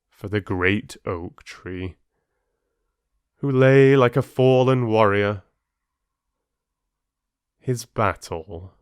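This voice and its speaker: A man working as an audiobook narrator, reading stories and addressing listeners with measured, deliberate phrasing. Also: lowish voice, darkish tone, clear, resonant tone, no echo, clean studio sound. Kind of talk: expressive storybook reading and listener chat.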